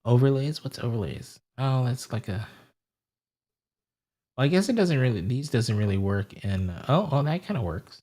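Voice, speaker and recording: A man's voice: medium pitch, animated, normal speed, warm tone. A man hosting a casual live stream, greeting viewers and rambling aloud while he fiddles with settings. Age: adult with a wife and family.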